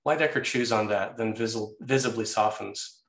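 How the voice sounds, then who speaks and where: A man's voice: fairly low pitch, moderate pace, fairly bright tone, slight background noise. A man reading stage directions as the scripted narrator of a screenplay table read. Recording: echoey, roomy sound.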